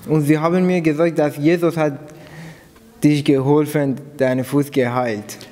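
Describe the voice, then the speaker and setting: A man's voice: fairly high pitch, steady tone, a little mellow. Man from Iran, echoing hall. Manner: simple non-native German, halting phrasing. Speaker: church member giving testimony. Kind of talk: personal testimony in short phrases, pausing for an interpreter. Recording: long hall reverb.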